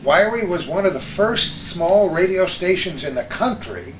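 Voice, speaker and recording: Higher-voiced American man reminiscing in echoey room. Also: expressive, unhurried, far from the mic, slight background noise. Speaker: host. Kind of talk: reminiscing conversational storytelling.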